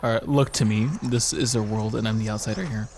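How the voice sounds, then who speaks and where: A man's voice: fairly low pitch, steady tone, brisk, darkish tone, a little hiss or hum. A young man reading game text aloud in a casual let's play, joking and theatrical. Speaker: gaming video co-host.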